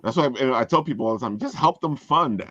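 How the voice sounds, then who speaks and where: Earnest, animated man, slightly dull recording. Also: medium pitch, quick pace, no echo. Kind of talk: casual group chat.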